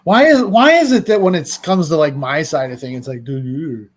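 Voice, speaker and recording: A man's voice: fairly high pitch, moderate pace. A man co-hosting a casual livestream chat, upbeat and playful in banter, greeting viewers. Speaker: co-host.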